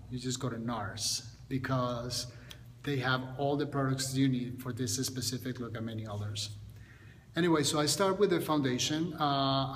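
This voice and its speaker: A middle-pitched man in his forties, speaking English, walks viewers through a makeup tutorial. He talks haltingly, often restarting and repeating words. The recording has a long reverb and a faint hiss behind it. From Colombia. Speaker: makeup artist.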